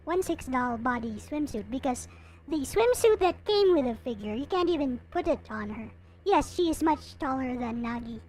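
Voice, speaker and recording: A woman giving a casual, unscripted show-and-tell as a vlogger, in a halting way as she thinks aloud. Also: sits high, expressive, a little mellow, low-quality recording. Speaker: vlogger showing collectible figures.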